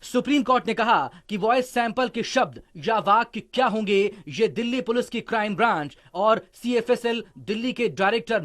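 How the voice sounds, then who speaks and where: A man with a distinctly high voice narrates a news report. He reads from a script as a reporter's voice-over. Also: fairly bright tone.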